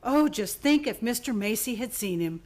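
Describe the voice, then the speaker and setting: A woman acts an admiring, chatty publicity lady in an old-time radio play. Her voice sits fairly high, with an effusive delivery. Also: expressive, moderate pace. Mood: gushing. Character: gushing, admiring department store publicity woman.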